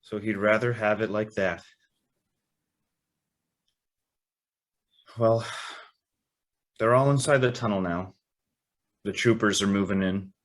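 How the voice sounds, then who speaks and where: An actor playing an earnest, wistful young man in a radio drama, measured and reflective with halting phrases. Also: fairly low pitch, steady tone, close to an everyday voice. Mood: wistful, resigned. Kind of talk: radio drama acting and narration.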